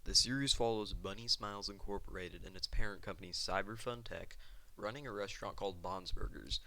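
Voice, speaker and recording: A man's voice: lowish voice, fairly quick, pushed and bright, poor audio. A man hosting a video essay in an informal, casual commentary style, occasionally stumbling over his words.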